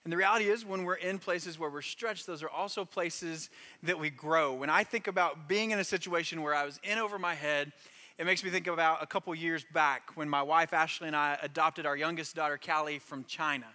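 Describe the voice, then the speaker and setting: A pastor delivers a sermon with earnest emphasis, stacking phrases for weight. His voice is bright and forceful, a little higher than most men's. A long reverb trails him through a large hall. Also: brisk.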